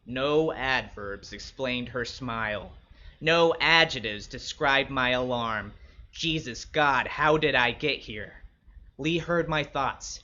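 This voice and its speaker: A man's voice: mid-range voice, pushed and bright, dull audio. A man reading a first-person short story as a wary young male escort of the 1960s, wry and blunt.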